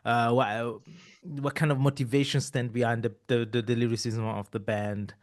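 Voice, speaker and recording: A man's voice: mid-range voice, flat delivery, moderate pace. A man, hosting a casual interview, talking in long rambling runs full of restarts. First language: Italian. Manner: rambling, with many restarts and repeated words. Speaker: podcast host.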